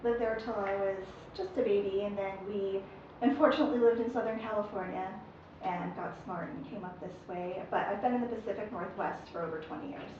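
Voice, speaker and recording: A woman's voice: mid-range voice, brisk, a little mellow, lo-fi sound. A woman introducing herself as a candidate, plain and blunt. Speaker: candidate on a panel. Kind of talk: panel self-introduction. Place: public meeting room. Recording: noisy echoey room from far off.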